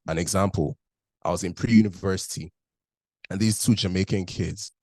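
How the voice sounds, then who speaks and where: A man's voice: low voice, fairly even intonation, normal speed, darkish tone, dry recording. A young man from Nigeria with a mixed Nigerian, British and Canadian accent, telling his story candidly in a casual interview and leaning on "like" a lot. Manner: candid, storytelling, heavy use of "like". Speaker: guest.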